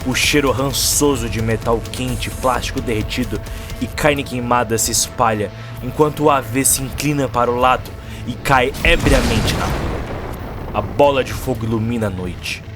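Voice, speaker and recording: A man's voice: medium pitch, moderate pace, music in the background. A man with a Brazilian accent reading a dramatic scripted story as narrator of an audio drama.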